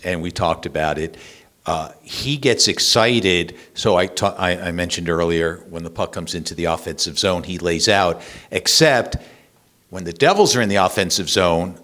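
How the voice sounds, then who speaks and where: Low-pitched older male sportscaster, conversational. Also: a bit bright. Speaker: radio hockey play-by-play announcer. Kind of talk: conversational panel discussion.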